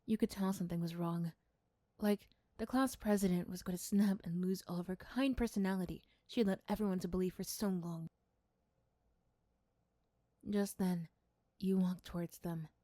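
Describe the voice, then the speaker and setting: Soft, mellow woman narrating a story. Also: fairly low pitch, moderate pace, pauses often, no room sound, clean studio sound. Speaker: storyteller and voice performer. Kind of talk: ASMR roleplay scripted narration.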